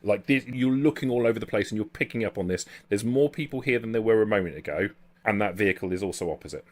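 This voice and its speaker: A man improvising narration as game master of a tabletop role-playing game, descriptive and halting as he builds each scene. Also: lowish voice. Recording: background music under the voice. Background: music.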